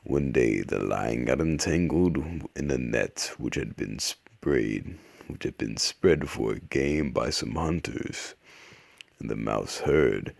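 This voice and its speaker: A man playing an old man narrator, reading storybook fables slowly and deliberately in a raspy voice. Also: rumbling low, darkish tone, dry recording, full character voice.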